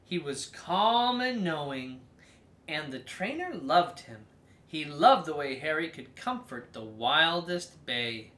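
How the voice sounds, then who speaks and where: A person reading a children's story aloud as host, in a theatrical, drawn-out way with emphatic stresses. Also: medium pitch, a bit bright, roomy sound.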